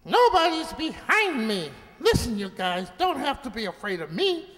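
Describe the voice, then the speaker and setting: A tiny talking mouse, with an extremely high voice that swoops about. He is cheeky and indignant, mock-formal in his defiance, in a comic radio play recorded in a reverberant hall. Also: big pitch swings, normal speed, fairly bright tone, full character voice. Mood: defensive. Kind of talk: comic science-fiction radio play acting. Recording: echoey hall with long reverb.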